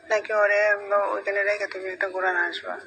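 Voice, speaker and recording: A woman's voice: mid-range voice, little pitch movement, fast, vocal fry. A middle-aged woman in a casual private phone conversation, hesitant and coaxing, often repeating herself. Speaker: mother-in-law on a phone call. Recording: noisy recorded phone call.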